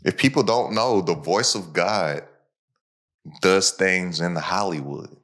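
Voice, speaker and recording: A man's voice: sits low, takes it slowly, a bit bright. A man hosting a podcast, talking casually in an informal, slangy, motivational way to his listeners. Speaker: podcast host and entrepreneur.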